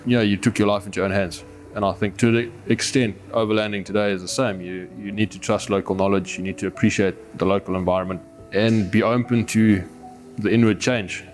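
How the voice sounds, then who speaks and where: A man from Zimbabwe with a Zimbabwean accent, speaking earnestly and philosophically in a reflective, conversational documentary interview. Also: fairly low pitch. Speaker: adventurer and overlander, interviewee. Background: music.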